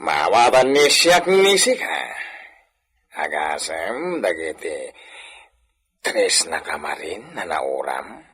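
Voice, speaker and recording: A man's voice: low voice, very animated, takes it slowly, long pauses, a bit bright, husky, heavily acted. An Ilocano-accented man acting a landowner's familiar, prodding friend in a radio drama, with a theatrical, questioning delivery. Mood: concerned. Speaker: actor in a radio comedy drama.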